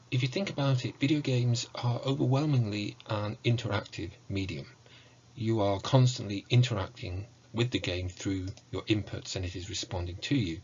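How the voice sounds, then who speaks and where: A middle-aged man giving a prepared online lecture in a measured, formal, explanatory way. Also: lowish voice, a little hiss or hum. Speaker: university lecturer in music. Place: small indoor room with bookshelves. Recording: muffled, dull online recording.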